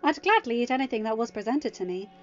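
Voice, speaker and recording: A woman voicing a haughty, scornful aged queen who speaks in a shrill, indignant raised voice. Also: big pitch swings, fast talker. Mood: flustered. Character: haughty aged female monarch, scornful, entitled. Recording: muffled audio with soft music.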